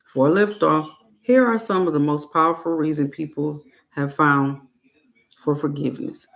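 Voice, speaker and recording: A woman reading a guided meditation in a deliberate way, breaking her phrases with long pauses. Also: low-pitched. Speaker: meditation and self-help reader.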